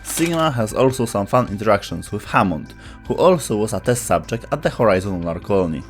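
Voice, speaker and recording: A man's voice: fairly low pitch, very animated, fairly quick. A man reading scripted explainer narration as the narrator of a video. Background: background music.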